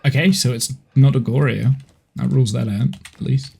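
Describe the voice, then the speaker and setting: A man's voice: medium pitch, steady tone, normal speed, creaky, warm tone, breathy edge. Congested man chatting over a game. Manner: chatty, rambling, restarting his sentences. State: congested, with a head cold. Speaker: streamer. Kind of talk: casual gameplay chat on a live stream. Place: at home.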